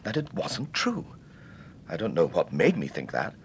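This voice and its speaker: Animated, earnest British gentleman witness. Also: sits low, slight background noise, exaggerated voice. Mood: earnest, shaken. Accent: British Received Pronunciation. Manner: earnest, emphatic, halting. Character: shaken, insistent well-bred male witness under questioning. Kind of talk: British radio serial acting.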